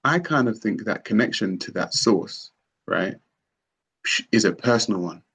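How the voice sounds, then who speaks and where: A man's voice: fairly low pitch, lively intonation, normal speed, a bit bright, breathy edge. A man hosting a casual conversation, skeptical and questioning, arguing with rhetorical questions.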